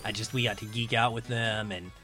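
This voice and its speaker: A man's voice: on the low side, steady tone, brisk, bright, forceful tone, soft background music. A man appearing as a podcast guest, discussing films casually in an earnest, explanatory way.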